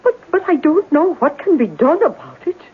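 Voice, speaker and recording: A woman's voice: high-pitched, sing-song, moderate pace. An actress playing an uneasy, evasive working-class landlady who stammers and talks in a folksy, ungrammatical way, in a 1970s radio drama. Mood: weary, defensive. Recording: muffled narrow-band old radio broadcast.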